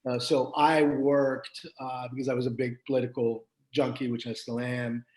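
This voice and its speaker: Animated French Canadian man. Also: mid-range voice, moderate pace, low-quality recording. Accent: slight Quebec French. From Quebec, Canada. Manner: animated storytelling, frequent restarts. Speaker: guest. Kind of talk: casual interview conversation.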